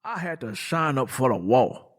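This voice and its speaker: A man's voice: medium pitch, big pitch swings, normal speed. A man voicing a plain-spoken, determined poor country man in an audio drama, with folksy phrasing. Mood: resolute.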